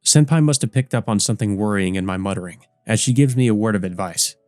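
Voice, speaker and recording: A man's voice: on the low side, fast, darkish tone, dry recording, soft background music. A man reading visual novel narration aloud as a let's play host.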